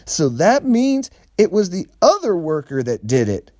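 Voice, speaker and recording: A man voicing an eager, slow-witted young male sidekick in a storybook reading, with a high, drawn-out, excited voice. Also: no room sound, studio quality, heavily acted.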